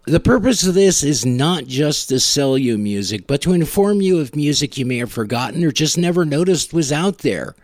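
A man's voice: on the high side, lively intonation, normal speed, hardly any pauses, a little mellow, dry recording. Man hosting a music podcast, dry close sound. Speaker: podcast host. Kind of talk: casual music show hosting.

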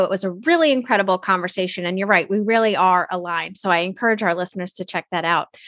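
Female podcast co-host, friendly and encouraging, medium pitch, dry recording. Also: a bit bright, dry recording. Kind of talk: casual podcast interview.

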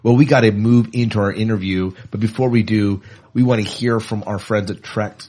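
A man's voice: on the low side, steady tone, brisk, mellow, slightly rough. A man co-hosting a podcast, chatting casually and reading odd news items in a jokey, enthusiastic way. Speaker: podcast co-host.

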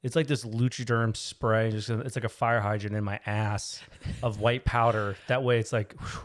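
A man's voice: fairly low pitch, little pitch movement, moderate pace. A young man co-hosting a podcast with his wife, chatting casually with blunt jokes and frequent swearing. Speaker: podcast co-host. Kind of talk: casual couple chat.

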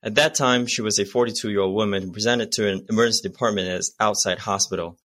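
A man's voice: fairly low pitch, fairly even intonation, fast talker, fairly bright tone, no echo. A man in his thirties from Malaysia, chatting and presenting as a medical podcast guest. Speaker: cardiology fellow, podcast guest. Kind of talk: conversational medical presentation and chat.

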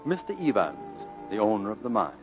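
An actor playing a grown man wistfully recalling his boyhood, narrating an old radio drama in a measured, reflective way. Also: on the low side, very animated. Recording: muffled old radio broadcast with music.

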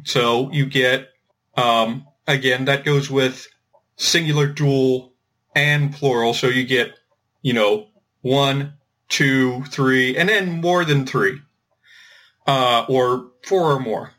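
A man explaining things informally as a podcast host, thinking aloud in a halting way with repeated words. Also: mid-range voice, fairly even intonation, a bit bright. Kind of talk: informal explanatory discussion of linguistics.

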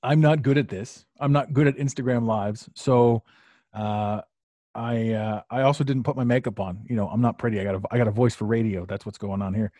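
Male podcast host, middle pitch, dry close sound, loose rambling delivery full of fillers. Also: fairly even intonation, brisk, mellow, dry recording. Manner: rambling, repeats himself, many fillers. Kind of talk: casual live podcast chat. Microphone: podcast mic on a live stream.

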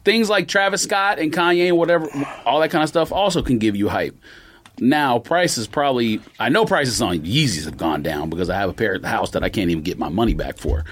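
A man's voice: medium pitch, a little fast. An American man on a podcast panel, debating casually in a blunt, argumentative manner.

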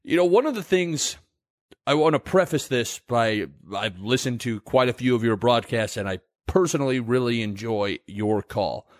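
A man's voice: medium pitch, lively intonation, moderate pace, dry recording. Male podcast host, dry close sound. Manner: conversational, asks long wandering questions. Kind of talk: casual interview.

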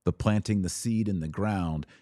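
Low-voiced man teaching religion, emphatic. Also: mellow, no echo, studio quality. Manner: emphatic, deliberate phrasing with dramatic pauses; didactic, preacher-like rhetorical questions. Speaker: podcast host.

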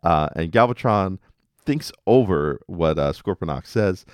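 A man's voice: low voice, animated, unhurried, clean tone, dry recording, clean studio sound. A man hosting a podcast, casually recapping episodes with wry, jokey asides. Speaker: podcast host.

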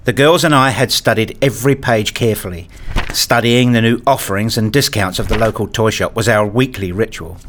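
A man playing a wistful, fussy married father, narrating a radio play in the first person in a measured, reflective way. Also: on the low side, a bit bright, slight background noise, lightly acted.

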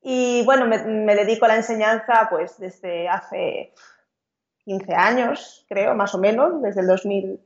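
A woman's voice: medium pitch, a little slow. A woman from Galicia, Spain, a teacher chatting as an interview guest with hesitant fillers. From Vigo, Galicia, Spain. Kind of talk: casual conversational interview. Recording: roomy, dull lo-fi sound.

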